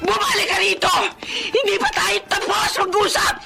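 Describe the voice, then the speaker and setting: A woman's voice: extremely high pitch, normal speed, creaky, bright, forceful tone, raised voice, full character voice. A woman acting as an emotional, confrontational middle-aged Filipino mother in a Tagalog radio drama, angry and hurt. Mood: furious.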